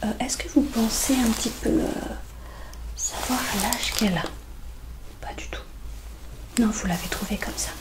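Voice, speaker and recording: A woman doing a soft-spoken, whispered ASMR roleplay as a veterinarian, speaking gently and reassuringly with hesitant pauses. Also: fairly high pitch.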